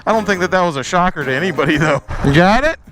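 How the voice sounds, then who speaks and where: High-pitched joking man, motorcycle vlogger. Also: expressive, racing, fairly bright tone, slight background noise. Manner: self-deprecating, joking, slangy. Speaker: motorcycle vlog host. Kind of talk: casual vlog commentary.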